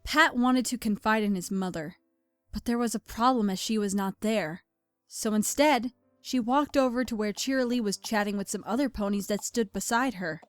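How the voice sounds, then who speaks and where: A woman's voice: medium pitch, moderate pace, no echo, soft background music. A woman reading scripted story narration as the narrator of an audio drama.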